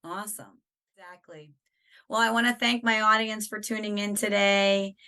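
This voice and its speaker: A woman hosting a podcast interview over a video call, speaking in a casual, affirming way, her voice a little raspy after losing it. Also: medium pitch, steady tone, normal speed. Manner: warm, affirming, frequent agreeing interjections. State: slightly raspy from a lost voice. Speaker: podcast host.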